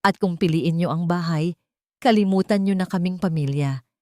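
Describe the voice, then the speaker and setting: A woman's voice: on the low side, moderate pace, dark tone, dry recording, clean studio sound, close to an everyday voice. A woman voicing a hurt, resentful grown son pleading with and pressuring his mother in an audio drama. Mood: angry ultimatum.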